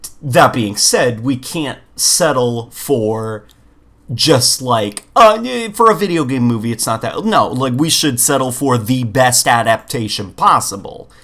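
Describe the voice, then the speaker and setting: A man co-hosting a podcast, chatting casually in an informal, animated way full of filler words. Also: medium pitch, measured pace. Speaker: podcast co-host. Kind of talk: casual chat.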